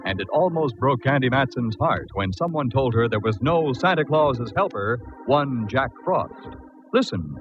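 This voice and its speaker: A man announces an old radio show with lively, varied intonation. Loud organ music plays behind him. The recording is vintage and slightly dull. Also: medium pitch, moderate pace.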